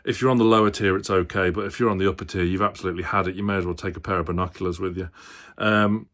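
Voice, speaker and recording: Bloke with a lowish voice doing a fan channel football chat, kinda hesitant, thinking out loud to viewers. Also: male voice, steady tone, racing. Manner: hesitant, thinking aloud, hedging. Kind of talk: casual opinion commentary to viewers.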